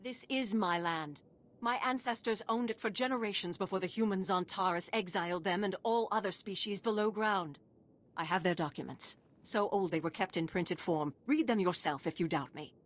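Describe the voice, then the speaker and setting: A woman's voice: mid-range voice, normal speed, natural voice. An actress playing a proud, wronged female alien refugee leader in a video game, speaking formally and solemnly. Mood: indignant. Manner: formal, solemn, impassioned pleading. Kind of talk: scripted video game dialogue.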